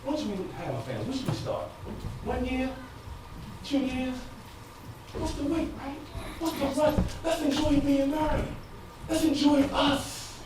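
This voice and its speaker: An actor playing an anguished, frustrated husband in an emotional theatrical stage monologue that moves between quiet confiding and loud outbursts. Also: male voice, very high voice, animated, measured pace, pauses often. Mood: wistful, regretful. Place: stage in a large indoor room. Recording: echoey stage, distant mic, noisy.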